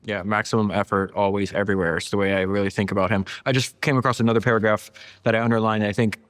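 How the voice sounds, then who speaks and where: A man's voice: fairly low pitch, steady tone, rapid-fire, fairly bright tone, big-room sound. A man hosting a podcast, reading out quotes and commenting on them in an emphatic, insistent way. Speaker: podcast host.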